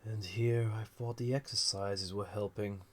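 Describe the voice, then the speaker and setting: A man playing an ancient banished villain, a magical being, in an intimate audio roleplay, musing theatrically with a gravelly, husky, breathy voice. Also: fairly low pitch, fairly even intonation, unhurried. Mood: bemused, wry. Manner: musing, theatrical, drifting into breathy near-whispers. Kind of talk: scripted audio roleplay, intimate monologue to listener.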